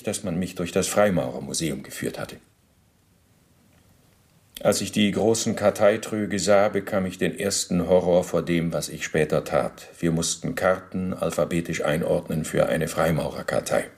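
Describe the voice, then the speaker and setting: A former officer testifying in a radio play, his voice low and full of fry. He recounts things precisely and bureaucratically, in a matter-of-fact tone that justifies himself. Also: normal speed, a little mellow. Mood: matter-of-fact, self-justifying.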